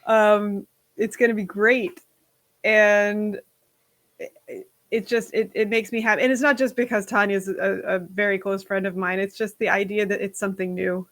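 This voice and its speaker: A frank, animated woman chatting as a guest on a stream. Her voice sits at an ordinary middle pitch. The recording is dry and close, with almost no room sound. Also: normal speed, no room sound. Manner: frank, outspoken and animated, swears casually. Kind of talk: casual chat on a stream.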